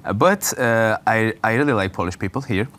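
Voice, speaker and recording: A man's voice: fairly low pitch, fairly quick, fairly bright tone. A man in his twenties with a Turkish accent, answering interview questions in a hesitant way, with many fillers and restarts. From Turkey. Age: 20s. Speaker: guest.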